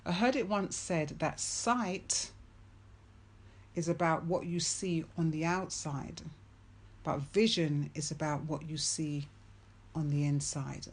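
A woman with a low, deep-set voice delivers a faith-based motivational talk. She speaks slowly and deliberately, repeating her key phrases with earnest emphasis.

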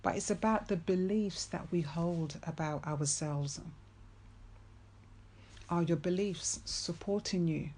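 A woman with a low, deep-set voice delivers a faith-based motivational talk. She speaks slowly and deliberately, repeating her key phrases with earnest emphasis. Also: a little mellow, a little hiss or hum.

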